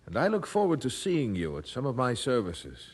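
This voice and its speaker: An actor playing a devout, courteous, resolute preacher in an audio drama, speaking formally and earnestly. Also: male voice, mid-range voice, huge pitch swings, moderate pace, husky.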